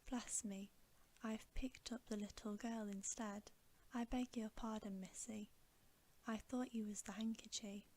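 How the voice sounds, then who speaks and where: A woman voicing a huge, polite, slow-witted male giant with rustic manners, in a whispered storybook reading. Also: fairly high pitch, fairly even intonation, darkish tone, a little hiss or hum, close to an everyday voice. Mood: apologetic. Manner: rustic, unschooled, courteous speech.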